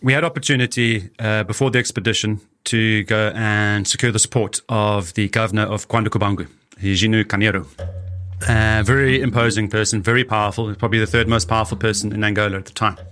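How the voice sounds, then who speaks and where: A man's voice: fairly low pitch, steady tone, quick pace, fairly bright tone. A man recounting his experiences as an expedition leader in a conversational interview.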